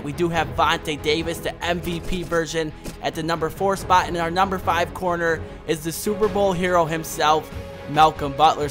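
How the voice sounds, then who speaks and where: Hoarse young guy talking fast over game footage, upbeat, kinda high voice, music playing under him. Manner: upbeat, fast-talking, speaks straight to viewers. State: hoarse from a cold. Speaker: gaming YouTuber. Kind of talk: gameplay commentary to viewers. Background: background music.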